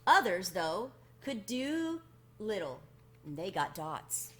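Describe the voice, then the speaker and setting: A woman's voice: mid-range voice, sing-song, slow, fairly bright tone. Woman reading a children's storybook aloud. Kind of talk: children's picture book read-aloud.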